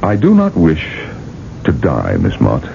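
Radio drama: arrogant older magnate, gravelly bass, hugely animated intonation, self-assured. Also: male voice, a little slow, slight background noise, heavily acted. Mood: brisk, firm. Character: proud, stubborn, wealthy older male industrialist. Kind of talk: radio drama acting and first-person narration. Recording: dull vintage radio broadcast, music behind.

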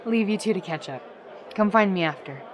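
A woman's voice: medium pitch, expressive, brisk, crowd noise, background noise, natural voice. A woman voicing a dry, guarded, protective older-sister pony in a fan audio drama. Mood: guarded, skeptical.

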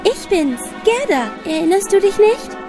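A woman's voice: extremely high pitch, very animated, a little slow, music in the background, pitched above the performer's own voice, full character voice. A woman voicing a brave, loving young girl with an earnest, emotional manner in a fairy tale. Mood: joyful, pleading.

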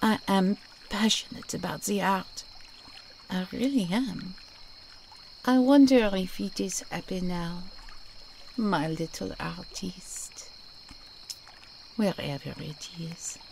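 A slow, gravelly con woman, as an actress voices her for an audio drama, wistful and unrepentant about her schemes. Her words come out measured and unhurried over a faint hum of background noise. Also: lowish voice, a little mellow, rough recording. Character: Madame Alaire, French art con woman, wistful.

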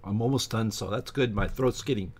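A middle-aged man reads aloud haltingly from a book and breaks off to give his opinionated, informal commentary as a channel host. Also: on the low side, slightly rough. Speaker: YouTube science channel host. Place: small home office with bookshelves, indoors.

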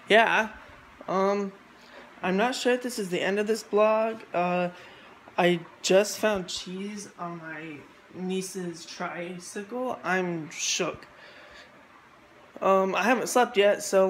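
A man's voice: sits high, slow pace, pauses often, fairly bright tone, slight background noise, rough recording. A young man chatting casually into his phone camera for a vlog, mumbling and trailing off, tired. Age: young adult. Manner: mumbly, trailing off, lots of ums. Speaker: vlogger. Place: car, mall, home. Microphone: phone at arm's length.

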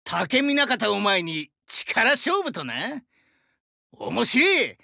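A male actor voicing a burly, friendly young sumo-wrestler god in an anime game, with rough, hearty speech and a rural Shinshu Japanese dialect. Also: high-pitched, very animated, very slow, pushed and bright, no room sound, studio quality, exaggerated voice. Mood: fierce, battle-ready. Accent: rural central Japanese dialect (Shinshu). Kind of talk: anime game character voice lines.

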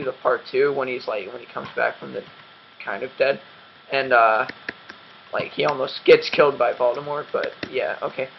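A man's voice: medium pitch, vocal fry, dull audio, slight background noise. A young man hosting a game commentary, rambling and self-mocking with lots of ums, his voice dry and cracking. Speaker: gaming channel host.

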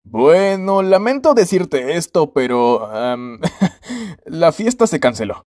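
A man with a Latin American Spanish accent voicing a gruff, sardonic, foul-mouthed male echidna in a cartoon fan dub. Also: a little high, very animated, takes it slowly, darkish tone, no room sound, studio quality. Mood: incredulous, amused.

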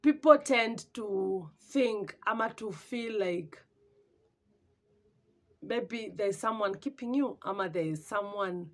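A woman's voice: mid-range voice, slow pace. A woman in her twenties with a Kenyan accent chatting casually and animatedly for a home vlog, mixing in Swahili and searching for words. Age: 20s. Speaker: vlogger. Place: small room at home.

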